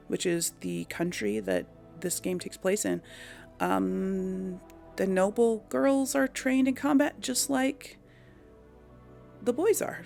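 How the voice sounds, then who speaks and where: A woman's voice: medium pitch, gravelly. A woman giving casual, unscripted video game commentary, thinking aloud and explaining things to viewers in a chatty way. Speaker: video game let's-play host.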